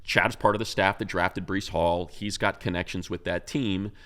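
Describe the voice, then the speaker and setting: A man's voice: sits low, fairly even intonation, normal speed, bright, forceful tone. An American man talking football casually as a co-host, giving his opinions with emphasis. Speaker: sports radio announcer and podcast co-host. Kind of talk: casual football analysis chat.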